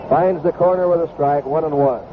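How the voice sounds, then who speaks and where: American guy calling baseball live, higher voice, short clipped calls, thin noisy old broadcast sound. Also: a little fast. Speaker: baseball play-by-play announcer. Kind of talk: live sports commentary. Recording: tinny, noisy vintage broadcast.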